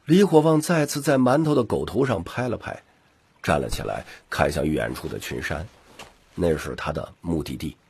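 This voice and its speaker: A man reads an audiobook as a dramatic storyteller. His voice is low and very husky, and his pitch moves in wide, animated swings through the scripted narration. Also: normal speed. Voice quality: husky, gravelly.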